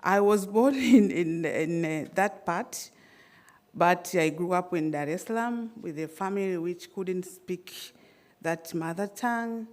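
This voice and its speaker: Slow-spoken Tanzanian woman presenting. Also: lowish voice, a little mellow. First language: Swahili. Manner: measured, hesitant with frequent fillers and restarts. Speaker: conference presenter. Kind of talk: academic conference presentation. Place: conference hall.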